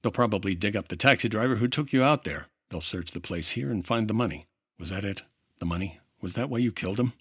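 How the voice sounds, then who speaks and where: An actor playing a dry, relentless 1950s insurance investigator in an old-time radio drama, speaking in a clipped, wry, accusing way. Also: male voice, on the low side, fast, a bit bright, husky, dry recording. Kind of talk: old-time radio detective drama acting with narrated report.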